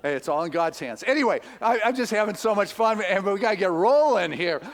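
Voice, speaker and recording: Excited high-voiced man at church. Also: brisk. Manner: excited, chatty, laughing. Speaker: minister. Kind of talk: church service announcements.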